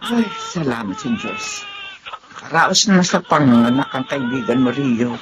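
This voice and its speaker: Excitable, swooping middle-aged woman. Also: rumbling low, unhurried, music in the background, exaggerated voice. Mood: shocked, relieved. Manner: exclamatory, flustered. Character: excitable, anxious older woman helping at a birth. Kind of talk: Filipino melodrama acting.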